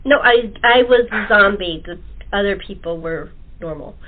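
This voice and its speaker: A woman's voice: mid-range voice, normal speed, a little hiss or hum. A middle-aged American woman hosting a casual chat interview, chatty and searching for her words as she goes. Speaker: talk show host. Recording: tinny phone-line audio.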